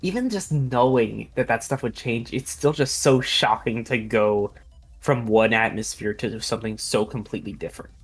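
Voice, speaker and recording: A husky, breathy voice chats casually on a livestream as part of the team. Faint music plays underneath. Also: medium pitch, normal speed. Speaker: fandub team member on a livestream. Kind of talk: casual chat.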